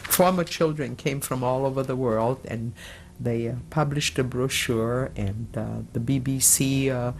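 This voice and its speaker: A woman in her sixties giving oral history testimony in an interview, speaking hesitantly with many filler pauses. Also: very deep voice, steady tone, darkish tone, a little hiss or hum. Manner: slow, measured.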